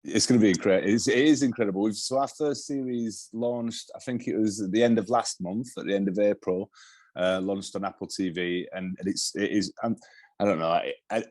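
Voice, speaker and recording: Low-voiced Yorkshire man, dry close sound. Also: moderate pace, a little mellow, no echo. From Yorkshire, England. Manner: rambling with many restarts and repeated words. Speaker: TV director, guest. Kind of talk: casual interview talk.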